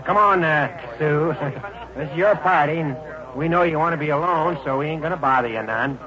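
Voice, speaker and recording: An actor playing a gruff but kindly older small-town station master, with folksy rural speech, in old-time radio drama style. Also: male voice, on the high side, normal speed, crowd noise, lightly acted. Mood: warm, hearty. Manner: folksy rural speech, calls people son and ma'am. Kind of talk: 1940s-50s radio drama acting. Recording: muffled, very noisy old radio recording.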